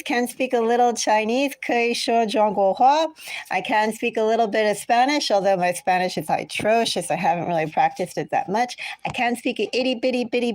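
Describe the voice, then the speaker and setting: An American woman hosts a tarot livestream in a casual, chatty way, drifting into asides as she talks to viewers. Her voice sits at a middle pitch, and the sound is close and dry. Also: a little mellow, no room sound. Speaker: tarot reader hosting a livestream.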